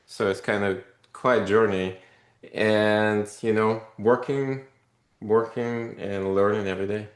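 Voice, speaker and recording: A man, a company founder telling his story in a casual interview, animated and rambling with many fillers. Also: on the low side, a little slow. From Russia. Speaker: podcast guest, company founder. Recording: echoey room.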